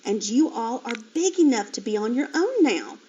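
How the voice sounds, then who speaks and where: A woman's voice: on the high side, sing-song, moderate pace, mellow, slight background noise. A woman voicing a patient, motherly sow who explains things to her piglets. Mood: soothing. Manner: soothing, explaining. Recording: dull lo-fi recording.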